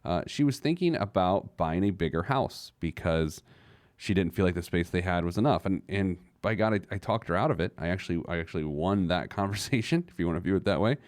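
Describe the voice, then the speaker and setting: A man's voice: low voice, expressive, brisk, a little mellow, no room sound, studio quality. A man hosting a personal finance show, giving conversational advice in an emphatic manner with rhetorical questions and joking asides.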